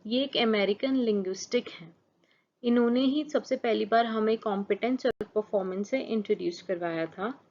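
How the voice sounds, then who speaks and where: A woman whose first language is Hindi, teaching a lesson for exam students, reading English definitions slowly and explaining them with rhetorical questions. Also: a little high, darkish tone, a little hiss or hum. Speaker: channel host and teacher. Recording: dull lo-fi recording.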